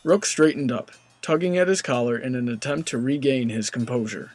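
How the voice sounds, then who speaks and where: A man's voice: medium pitch, fairly quick, darkish tone, no room sound. A man reading scripted story narration in a dramatic storytelling style.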